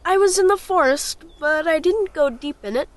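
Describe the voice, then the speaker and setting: A woman's voice: very high voice, normal speed. A woman playing a curious, earnest young boy in an audio storybook, with a childlike manner. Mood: evasive. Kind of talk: audio storybook character acting.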